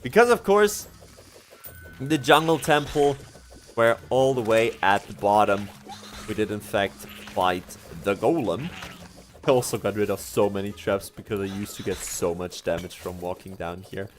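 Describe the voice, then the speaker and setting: A man's voice: fairly low pitch, measured pace, slight background noise. A young Swiss man, a German speaker, chatting casually while streaming a game, often laughing and correcting himself. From Switzerland. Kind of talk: casual gameplay livestream commentary.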